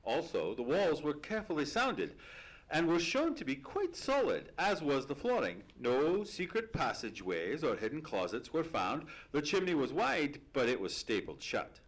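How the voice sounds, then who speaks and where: A man playing a proper, earnest Victorian gentleman in a formal, fussy, theatrical old-time radio manner. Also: a little high, wildly swooping pitch, moderate pace, poor audio. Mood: matter-of-fact. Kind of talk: live old-time radio drama acting. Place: theatre stage.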